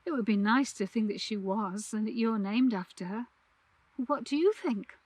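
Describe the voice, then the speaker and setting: Woman reading as a gentle, encouraging mum. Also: fairly high pitch, moderate pace, dry recording, natural voice. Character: gentle, encouraging mother of a young girl.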